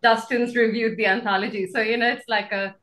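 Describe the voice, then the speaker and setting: A young woman with an Indian accent giving a reflective, earnest informal talk, deliberate with pauses, on a video call from a bedroom. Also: fairly high pitch, steady tone, a bit bright. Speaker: invited speaker, professor and poet. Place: bedroom at home. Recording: roomy home video-call sound.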